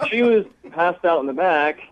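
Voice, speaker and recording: A man's voice: a little high, expressive, moderate pace, highs cut off. A man talking candidly as a guest on a radio phone segment, blunt and sarcastic in an exasperated way.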